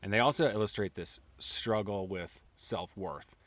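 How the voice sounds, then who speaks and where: Podcast host: man with a very low voice, measured and empathetic questioning, dry close-mic sound. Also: a bit bright, dry recording. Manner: thoughtful, empathetic questions, reads quotes aloud. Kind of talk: podcast interview.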